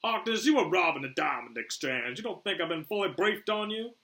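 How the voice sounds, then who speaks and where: A stern commanding officer, acted out by a male narrator, with a forceful edge and emphatic swings of pitch. He gives orders curtly, like a soldier in an action audiobook. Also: lively intonation, fairly quick. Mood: dry, briefing.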